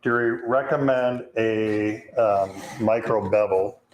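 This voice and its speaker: Deep-voiced Chicago man in a roomy shop. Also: fairly even intonation, takes it slowly, slight background noise. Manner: careful, reads viewer questions aloud. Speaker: host. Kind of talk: live Q&A chat.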